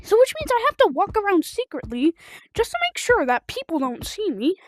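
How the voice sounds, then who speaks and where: A woman chatting casually and rambling as a livestreamer playing a video game, telling stories in an informal, excitable way. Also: very high voice, fairly quick, no room sound. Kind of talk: rambling casual livestream chat while gaming.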